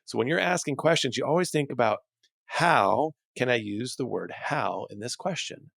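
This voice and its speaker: A man's voice: mid-range voice, expressive, normal speed, creaky, a bit bright, dry recording. Man coaching in a dry, close sound. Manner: instructional, emphatic, rhetorical questions. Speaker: dental practice coach and host. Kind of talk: motivational coaching talk to camera or listeners.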